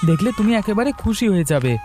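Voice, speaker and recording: High-pitched young village rogue acted by a man. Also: very animated, rapid-fire, music in the background. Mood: eager. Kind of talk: cartoon fairy-tale voice acting.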